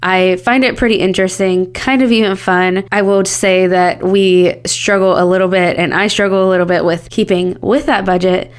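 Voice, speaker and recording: A woman's voice: medium pitch, fairly even intonation, moderate pace, hardly any pauses, smooth. A woman in her thirties hosting a solo podcast, talking in an earnest, candid and upbeat way, her voice a bit scratchy from a cold. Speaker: podcast host.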